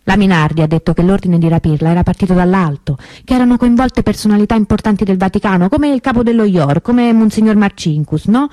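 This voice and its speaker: An actress playing the grieving, bitter sister of a kidnapped girl in a radio monologue, measured and emphatic. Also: medium pitch, dark tone, dry recording, close to an everyday voice. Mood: grave recounting.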